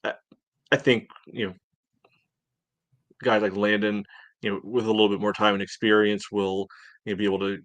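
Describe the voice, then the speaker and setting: A man co-hosting a soccer podcast, breaking down a match in casual, halting talk. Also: on the low side, level tone, fairly bright tone, dry recording. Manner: halting, peppers talk with "you know". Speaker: soccer podcast co-host and analyst.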